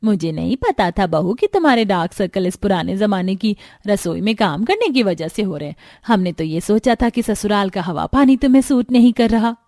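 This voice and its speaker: Woman acting a dramatic cartoon mother-in-law, swooping pitch. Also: lively intonation, moderate pace, hardly any pauses, mellow, dry recording, clean studio sound, natural voice. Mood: apologetic. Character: middle-aged Indian mother-in-law, concerned and bossy. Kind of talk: Hindi cartoon family story dubbing.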